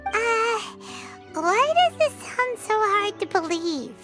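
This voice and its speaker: A woman voicing a small, chatty, curious fairy companion in a video game, with a squeaky childlike voice. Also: big pitch swings, takes it slowly, exaggerated voice. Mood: surprised, doubtful. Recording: music behind the voice.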